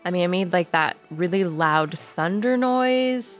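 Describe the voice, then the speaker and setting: A woman's voice: lowish voice, expressive, unhurried. A woman playing a tabletop roleplaying game, chatting in character in a casual, hesitant way peppered with filler words. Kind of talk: casual in-character improvised chat. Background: background music.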